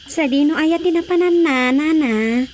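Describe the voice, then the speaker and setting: A curious young village woman speaks in a high, girlish register for a radio drama. Faint crickets sit behind her gossipy talk. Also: measured pace, darkish tone, a little hiss or hum.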